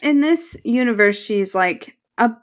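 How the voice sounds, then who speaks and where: A woman's voice: on the high side, expressive, measured pace. A woman co-hosting a podcast, chatting casually and informally about a show. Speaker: podcast co-host. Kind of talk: casual recap chat.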